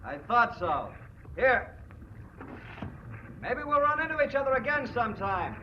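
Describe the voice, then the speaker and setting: A man's voice: extremely high pitch, very animated, measured pace, exaggerated voice. An actor playing a cocky, cold gunman with a sneering, mock-friendly manner in an old-time radio drama. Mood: bargaining. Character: cocky gun-wielding male villain, mocking and cold. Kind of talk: 1940s radio drama acting. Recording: muffled, noisy old radio recording.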